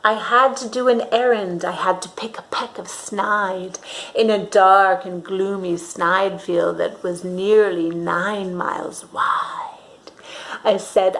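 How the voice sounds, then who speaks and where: A woman reading a storybook aloud to young children in an expressive, dramatic way, pausing to ask her listeners questions. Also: mid-range voice, poor audio.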